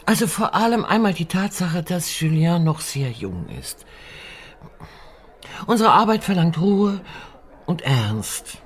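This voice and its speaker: An actress playing a worldly, poised middle-aged madame in a radio drama, formal and cool, her phrases trailing off low. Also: expressive, takes it slowly. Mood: reserved, reluctant. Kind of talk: German radio drama acting.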